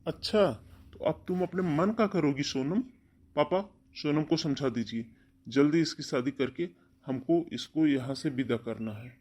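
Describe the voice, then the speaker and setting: Man as stern elder brother, firm, dark raspy voice, insistent, cartoon melodrama. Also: on the high side, unhurried. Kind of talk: Hindi cartoon family melodrama.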